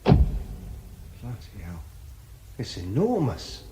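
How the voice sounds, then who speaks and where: An actor in a radio drama playing a flippant, grumbling but nervous sidekick with dry humour. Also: male voice, lowish voice, wildly swooping pitch, slow, warm tone, heavily acted. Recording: faint vehicle and ambient noise.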